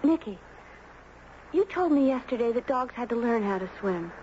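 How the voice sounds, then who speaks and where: A woman playing a mysterious, artless teenage girl with a clear young voice and a simple, direct manner in a 1970s radio drama. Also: fairly high pitch, animated, moderate pace, darkish tone, lightly acted. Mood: curious. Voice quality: clear, youthful. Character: mysterious teenage island girl, artless, curious, self-possessed. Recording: dull, noisy old radio broadcast.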